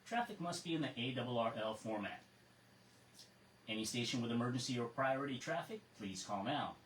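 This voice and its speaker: An American man acting as ham radio net control, reading procedures in a measured way with casual asides. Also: mid-range voice, expressive, a bit bright. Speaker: amateur radio net control operator and host. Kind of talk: reading a net script and chatting with check-ins. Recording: roomy, distant mic with faint noise.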